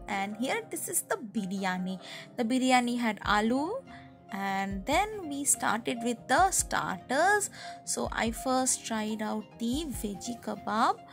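A woman's voice: high-pitched, vocal fry. A woman with an Indian accent giving a casual food review as a vlogger's voice-over.

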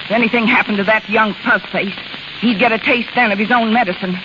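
A woman's voice: on the high side, steady tone, brisk, natural voice. Actress playing bitter, scheming carnival woman, muffled old radio. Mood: bitter, hurt. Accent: American. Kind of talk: 1930s American radio acting. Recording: muffled, hissy, crackling 1930s radio recording.

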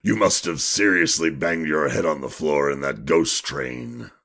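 A grumpy, resigned man as acted by a man in an audio drama. His voice is extremely deep and gravelly, swooping widely as he grumbles sarcastically. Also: lively intonation, unhurried, fairly bright tone, exaggerated voice. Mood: sarcastic.

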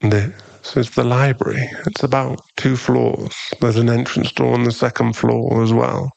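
A man playing a possessive, tender and dangerous mafia boss boyfriend in an intimate, teasing ASMR roleplay, lingering on his pauses. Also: mid-range voice, flat delivery, creaky, darkish tone, highs cut off. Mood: relaxed, affectionate.

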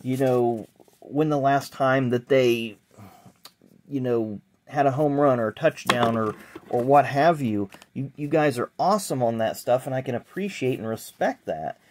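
A middle-aged man talks casually in a rambling way, tossing in self-deprecating asides. His voice sits at an ordinary pitch for a man, and the camera mic picks him up at a table indoors. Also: measured pace, slight background noise. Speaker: sports card collector vlogger. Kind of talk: casual unboxing chat. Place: indoor card room at a table. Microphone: camera mic, hands in frame.

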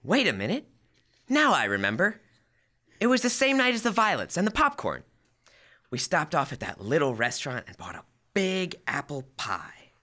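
Give the part to a teenage boy acting a bumbling, nostalgic radio sitcom husband. His bright voice leaps all over in pitch as he gushes and gets flustered. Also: wildly swooping pitch, normal speed, heavily acted. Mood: fondly nostalgic. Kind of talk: old-time radio comedy acting. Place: school library room, stage microphone.

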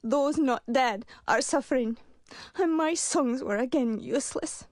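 High-voiced stern shepherd leader. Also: female voice, measured pace, a little mellow, no room sound. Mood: grave, urgent. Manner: formal, solemn, commanding. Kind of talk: 1990s adventure game voice acting.

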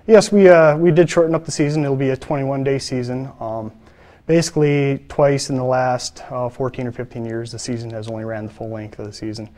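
A man's voice: mid-range voice, steady tone, fairly quick, warm tone. A man in his thirties, a fisheries biologist, answering interview questions plainly with many filler uhs. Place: studio, seated.

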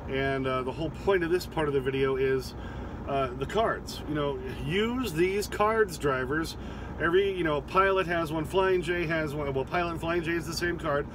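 Man in his fifties, truck driver vlogging, rambling and chatty, slightly higher voice, clear vehicle noise around him. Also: normal speed. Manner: rambling and chatty, with many fillers and restarts. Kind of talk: casual vlog talk to camera. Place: inside a truck cab.

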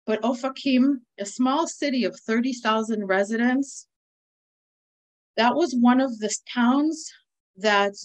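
A woman in her forties with an American accent, giving an earnest, measured briefing over a video call and pausing often with "uh". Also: a little high, low-quality recording. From United States. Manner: earnest, measured, with frequent "uh" pauses. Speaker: nonprofit speaker. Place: indoors with a virtual flag background. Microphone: video call webcam.

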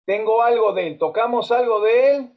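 Animated Argentine man on a video call. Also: extremely high pitch, moderate pace. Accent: Argentine Spanish. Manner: animated, storytelling, frequent fillers and restarts. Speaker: musician and host. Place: home music room. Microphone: webcam on a video call. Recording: dull lo-fi video-call audio.

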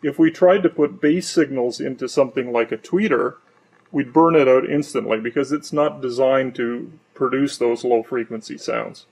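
A middle-aged man giving an unscripted technical demonstration as an engineer, in a measured, explanatory way. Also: mid-range voice, a little mellow. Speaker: loudspeaker engineer presenting his company's product. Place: large warehouse workshop with stocked shelving, indoors.

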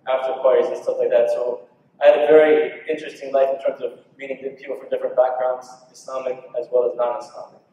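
A man in his thirties from Maryland, speaking at a conference podium and telling his life story in a relaxed, conversational way. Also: medium pitch, a little hiss or hum. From Maryland, United States. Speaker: panel speaker, former prisoner. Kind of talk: personal talk at a podium. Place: large conference hall. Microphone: podium microphone from a distance. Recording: echoey hall, distant dull mic.

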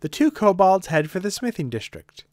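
A woman's voice: medium pitch, big pitch swings, normal speed, darkish tone, no echo, studio quality. A woman narrating a scripted fantasy comedy podcast.

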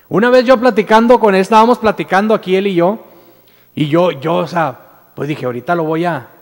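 A Mexican man in his thirties preaching a sermon at a pulpit mic, telling a story earnestly with many repeated words and restarts, then rising into emphatic declarations. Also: sits high. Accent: Mexican Spanish. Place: church sanctuary with stage, indoors. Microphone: standing mic at pulpit. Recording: church hall reverb.

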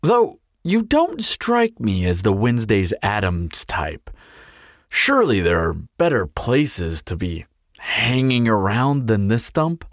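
A man's voice: lowish voice, big pitch swings, slow, no room sound, clean studio sound. A man playing a flirtatious, sardonic vampire in a roleplay audio drama, talking in a glib, teasing, playful drawl. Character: flirtatious, sardonic male vampire toying with a slayer.

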